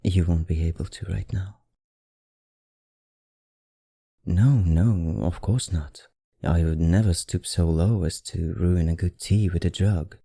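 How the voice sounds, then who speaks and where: A man voicing a suave, softly predatory vampire librarian in an intimate ASMR roleplay, with a courtly, teasing manner and an affected accent. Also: steady tone, a little slow, dry recording, clean studio sound, full character voice. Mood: softly menacing. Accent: affected, non-native accent. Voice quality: low, dark, gravelly murmur.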